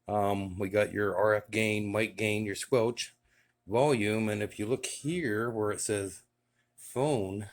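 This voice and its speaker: A man casually showing off his radio gear in a relaxed, enthusiastic way, with many fillers. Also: lowish voice. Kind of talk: casual show-and-tell vlog.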